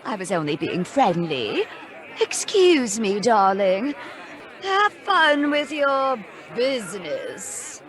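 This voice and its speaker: A gushing, catty socialite in a radio play. The voice is high and swooping, drawn out and affected, over a light murmur of crowd. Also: female voice, big pitch swings, takes it slowly. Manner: affected, effusive, drawling. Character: gushing, nosy, catty society woman. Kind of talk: radio drama acting.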